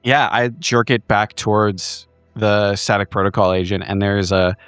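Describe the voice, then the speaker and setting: A man's voice: lowish voice, flat delivery, unhurried, a bit bright, clear, resonant tone, dry recording. A man playing a tabletop horror game, narrating his character's actions in a dramatic, descriptive way.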